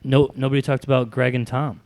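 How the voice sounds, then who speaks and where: Entspannter Mann mit Mittelwest-Akzent. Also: male voice, mid-range voice, little pitch movement, a little fast, a little mellow, no echo. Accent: Midwestern American. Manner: laid-back storyteller, laughs often. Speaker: podcast guest. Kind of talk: casual chat.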